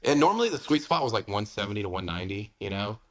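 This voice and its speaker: A man's voice: lowish voice, big pitch swings, fast talker. A man in his forties from the United States, talking candidly as a fitness coach in a casual interview, dropping "man" and "you know" often. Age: 40s.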